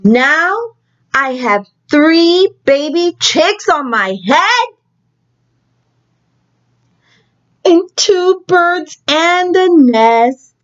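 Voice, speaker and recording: A woman's voice: extremely high pitch, lively intonation, very slow, full character voice. A woman reading a children's picture book, voicing an exasperated, alarmed elephant in an exaggerated, fretful way. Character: exasperated, alarmed elephant with birds nesting on his head. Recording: dull lo-fi recording.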